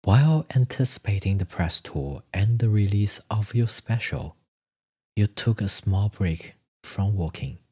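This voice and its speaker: A man narrating a scripted second-person story in measured, deliberate phrases. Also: fairly low pitch, animated, dark tone, husky.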